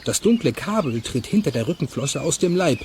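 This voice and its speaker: A man's voice: a little high, very animated, brisk, darkish tone, a little hiss or hum. A man reading scripted narration for a children's radio play.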